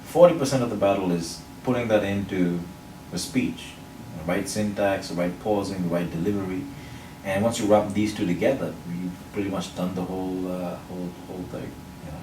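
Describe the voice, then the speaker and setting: Deep-voiced man, measured, in an echoey room. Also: steady tone. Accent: neutral international English, faint Sri Lankan. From Sri Lanka. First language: Sinhala or another Sri Lankan language. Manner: measured, explanatory, builds points in lists. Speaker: public speaking champion, interview guest. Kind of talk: interview answers. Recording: roomy echo, mic at a distance, faint noise.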